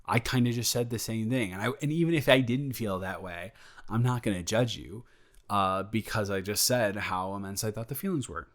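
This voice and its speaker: A man playing a smitten, playful young roommate in an improvised romantic audio roleplay, talking in a halting, self-correcting, jokey ramble. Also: on the low side, close to an everyday voice. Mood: smitten, flustered.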